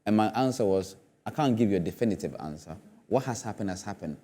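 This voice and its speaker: A man's voice: on the low side, lively intonation, fast talker, dark tone. A man speaking as a studio guest on a discussion show, earnest and emphatic, often repeating phrases for effect. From Ghana. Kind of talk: panel discussion.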